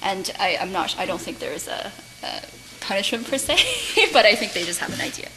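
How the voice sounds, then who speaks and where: A woman's voice: medium pitch, animated, brisk, bright, forceful tone, rough recording. A woman speaking off the cuff as she answers questions at a medical conference, with careful, hesitant phrasing. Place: conference room. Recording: faint background noise.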